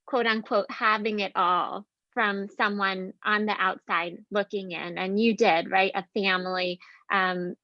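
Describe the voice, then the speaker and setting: A woman interviewer building a long, thoughtful question with soft fillers. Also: mid-range voice, fairly even intonation, measured pace, no room sound, dull audio.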